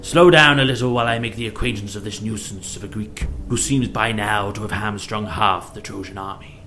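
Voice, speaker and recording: A man's voice: fairly low pitch, fairly quick, pushed and bright. Scornful ancient warrior prince, played by a male narrator, taunting and declamatory, music behind. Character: scornful, gallant prince shaming his fleeing soldiers.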